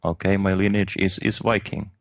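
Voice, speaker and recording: A man from Finland, a guest in a spiritual interview, speaking slowly and hesitantly with many fillers and restarts. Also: low-pitched, fairly even intonation, vocal fry, no echo, clean studio sound.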